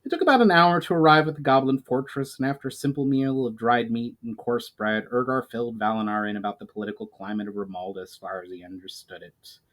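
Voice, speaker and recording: A man's voice: mid-range voice, quick pace, few pauses, a bit bright, poor audio. A man reading his own fantasy novel aloud as a dramatic, formal story narration.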